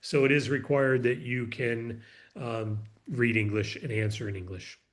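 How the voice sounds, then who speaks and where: A man's voice: medium pitch, fairly even intonation, a little slow. A man hosting a business training call, explaining the steps patiently and repeating key points in a casual, reassuring way.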